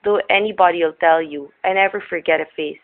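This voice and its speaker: Through tinny vintage radio sound comes an actress as a folksy old diner woman with a lowish voice. She gabs away in homespun, rustic grammar. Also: a little fast, close to an everyday voice. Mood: chatty, nosy. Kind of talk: old-time radio character acting.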